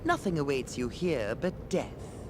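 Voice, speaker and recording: A woman's voice: sits low, big pitch swings, a little slow, background noise. An actress playing a haughty, menacing dragon in a noble lady's guise, imperious and contemptuous, in a fantasy game. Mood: cold contempt. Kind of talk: theatrical fantasy game voice acting.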